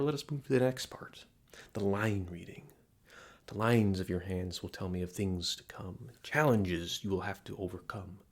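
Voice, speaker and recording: A man playing a flirty, theatrical palm reader at a fantasy inn, speaking in courtly, coaxing phrases to the listener in an intimate audio roleplay. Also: on the low side. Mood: coaxing, mysterious.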